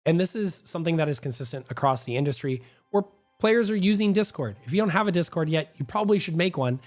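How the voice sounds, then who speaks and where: A young man hosts a gaming news show, his slightly high voice hoarse and nasal from a cold. He talks in an upbeat, confident, chatty way, and faint music plays under his voice. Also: expressive, quick pace. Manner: upbeat, talkative, self-assured. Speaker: gaming channel host. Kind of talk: energetic gaming news commentary.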